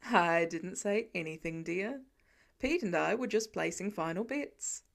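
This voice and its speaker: A woman's voice: fairly low pitch, expressive, measured pace, gravelly, pitched below the performer's own voice. A woman voicing a playful, dryly teasing adult man, a grumbling dad, in a fiction audiobook. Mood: mock grumbling. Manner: playful, dry, wheedling.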